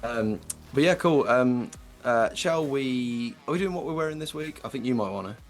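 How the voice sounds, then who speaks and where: A man in his late twenties with an English accent and a slightly croaky voice, co-hosting a casual football podcast and chatting with lots of fillers. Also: mid-range voice, lively intonation, moderate pace, soft background music. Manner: chatty, many fillers and restarts. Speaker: podcast co-host.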